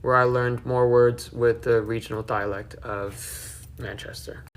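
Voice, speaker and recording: A man in his twenties records a personal vlog for his viewers. His mid-range voice has a noticeable gravelly fry. He speaks candidly about himself, with casual swearing. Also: medium pitch, flat delivery, measured pace. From several countries including the Philippines, Australia and the UK. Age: 20s. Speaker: online video creator.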